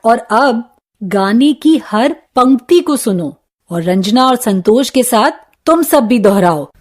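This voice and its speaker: A woman's voice: on the high side, expressive, very fast. A woman with an Indian accent playing a schoolteacher, instructing children in a scripted lesson with prompting questions. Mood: instructive, encouraging. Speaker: teacher presenting an English lesson for children.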